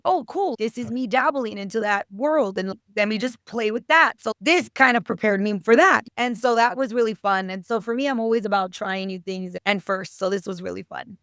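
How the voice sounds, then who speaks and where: A woman in her thirties with a fairly high voice, chatting informally as an interview guest. She talks in short, chatty bursts and slips into quoted remarks. The sound is very dry. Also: fairly bright tone, no echo. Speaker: actor guest. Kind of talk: casual interview chat.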